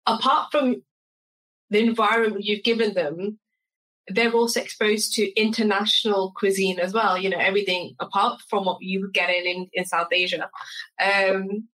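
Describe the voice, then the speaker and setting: A woman's voice: mid-range voice, steady tone, moderate pace, fairly bright tone, low-quality recording. A woman hosting a food podcast, chatty and friendly, asking questions with short eager agreements. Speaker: podcast host. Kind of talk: casual interview.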